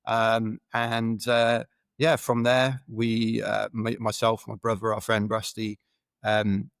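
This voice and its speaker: A man in his forties with a low voice that stays close to one pitch. He talks as a podcast guest, rambling and correcting himself as he goes. The sound is dry and close on the mic. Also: monotone, slow pace, creaky, no echo. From Wales. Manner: rambling, self-correcting, chuckles while telling stories. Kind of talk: casual podcast interview.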